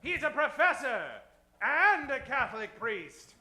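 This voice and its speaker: Theatrical, very high-pitched male radio announcer. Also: lively intonation, sharp tone. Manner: booming, theatrical, drawn-out emphasis. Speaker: host and announcer-narrator. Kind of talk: old-time radio serial announcing, scripted.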